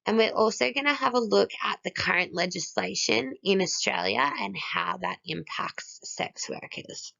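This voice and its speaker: A woman, hoarse from a cold, whose voice cuts in and out as she reads a student presentation, working steadily through lists of points. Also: mid-range voice, moderate pace, hardly any pauses. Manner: steady, listing one item after another. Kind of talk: read-aloud presentation. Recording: dull lo-fi recording.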